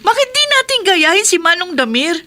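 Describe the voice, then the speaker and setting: An anxious young wife in a radio play. Her voice is high and bright, and she pleads and worries. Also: big pitch swings, fairly quick, full character voice. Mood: pleading. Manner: pleading, uneasy. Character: anxious young married woman. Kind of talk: radio drama acting.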